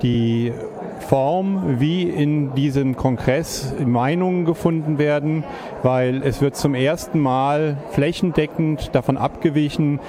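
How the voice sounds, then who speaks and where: A congress attendee answering an interview earnestly, searching for words. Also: male voice, on the high side, slow pace, crowd noise.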